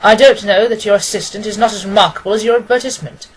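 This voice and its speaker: A woman voicing a confident, quick-witted gentleman detective from a storybook, precise and amused. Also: mid-range voice, fairly even intonation, slight background noise, voice pushed up, close to an everyday voice, rough recording. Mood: wry. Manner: precise, expository, assured.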